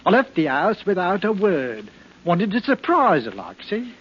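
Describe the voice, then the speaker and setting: A timid husband in an old radio thriller, stammering and deferential. His voice sits on the high side, on a muffled, noisy recording. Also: very animated, measured pace, fairly bright tone, full character voice. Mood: apologetic. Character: meek, flustered English husband hiding guilt. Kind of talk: 1940s radio acting.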